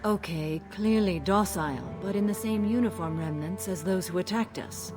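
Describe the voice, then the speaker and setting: A woman voicing a composed, analytical squad member in a video game, measured and clinical. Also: medium pitch, over music, lightly acted.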